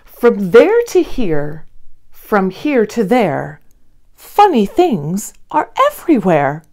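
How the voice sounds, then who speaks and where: A woman in her thirties reading a rhyming children's picture book aloud in an expressive, theatrical manner with deliberate phrasing. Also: medium pitch. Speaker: children's storybook reader. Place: small indoor studio with blue backdrop.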